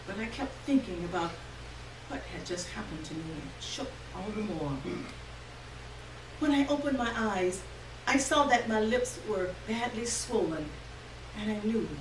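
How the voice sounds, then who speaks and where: Woman reading a story on stage, takes it slow, bit far from the mic, roomy sound. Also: medium pitch. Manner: measured, expressive storytelling. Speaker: story reader at a live literary reading. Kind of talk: dramatic first-person literary reading. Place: indoor room, live stage reading. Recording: distant roomy live recording, faint noise.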